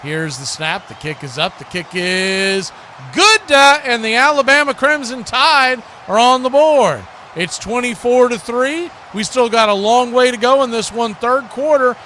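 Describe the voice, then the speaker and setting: A high-pitched man announces football play by play. He works in short, punchy phrases. Clear background noise sits behind the live stream sound. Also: lively intonation, a little slow, pushed and bright.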